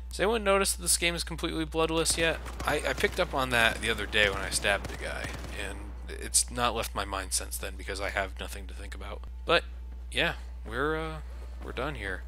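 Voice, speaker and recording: A man's voice: on the low side, pushed and bright, slight background noise. A man giving casual, sardonic and complaining commentary over video game footage, with some swearing. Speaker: let's play commentator. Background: video game sounds.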